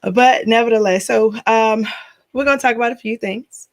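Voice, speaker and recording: A woman's voice: a little high, steady tone, normal speed. A woman hosting a podcast, chatting casually, teasing her guest and laughing often. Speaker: podcast host. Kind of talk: casual chat.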